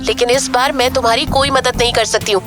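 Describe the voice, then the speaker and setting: ऑडियो ड्रामा में एक महिला एक चिड़चिड़ी, तीखे ताने देने वाली कामकाजी पत्नी का किरदार निभा रही है।. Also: female voice, on the high side, steady tone, quick pace, music in the background, lightly acted. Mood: angry, scolding. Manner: sharp, exasperated, sarcastic. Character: irritated, scolding young working wife. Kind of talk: audio drama acting.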